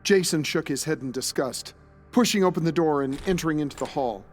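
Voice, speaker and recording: Slightly high-voiced male story narrator. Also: a little fast, faint music behind.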